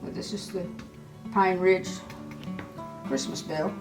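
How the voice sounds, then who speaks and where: A woman in her eighties giving an informal, halting, plainspoken guided tour of the small museum and shop she keeps. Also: lowish voice, steady tone, vocal fry, music in the background, poor audio. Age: 80s.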